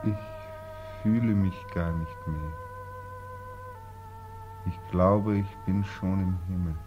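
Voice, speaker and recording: An actor playing a bitter, exhausted, despairing young soldier home from war in a 1940s German radio drama, with a weary, brooding delivery. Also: male voice, low-pitched, slow pace. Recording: muffled 1940s radio recording, faint music.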